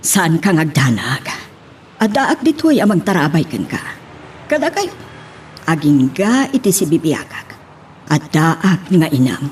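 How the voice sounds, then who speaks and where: Woman acting a caring Ilocano mother, lowish lively voice. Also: a little slow. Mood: tender, imploring. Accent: Filipino, Ilocano. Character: caring, worried mother comforting her grown daughter. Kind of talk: Ilocano radio-style drama acting. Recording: noisy track with background ambience.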